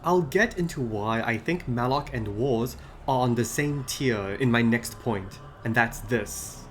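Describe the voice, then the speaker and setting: A man hosting a scripted video essay about game lore, explaining in a measured way. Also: mid-range voice, a little hiss or hum. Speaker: video host.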